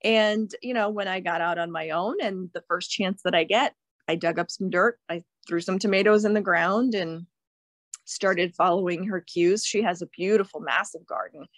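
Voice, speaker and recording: A woman's voice: lowish voice, fairly even intonation, moderate pace, dry recording. A middle-aged woman from Croatia, a guest in a casual interview, cheerful and laughing often as she talks. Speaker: guest, plant seller.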